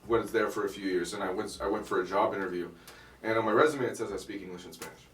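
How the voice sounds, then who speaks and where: A young man from Miami with a lowish voice, a comedian guest telling loose, dry, self-mocking stories. He sits off-mic in an echoey room with a faint hiss of noise behind him. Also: fast, a bit bright, distant mic. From Miami, United States. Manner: laid-back storytelling with dry self-deprecating humor and frequent laughs. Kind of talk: casual interview chat.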